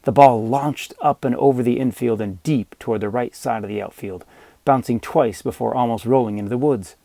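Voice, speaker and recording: Gravelly, mellow male audiobook narrator. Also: mid-range voice, no echo. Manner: measured, dramatic storytelling. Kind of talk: scripted fiction narration.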